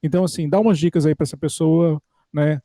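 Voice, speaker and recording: A man's voice: on the high side, steady tone, quick pace, warm tone, no echo, studio quality. A man from Brazil hosting a podcast in a casual interview, chatty and informal. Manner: chatty, informal, uses "cara". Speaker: podcast host.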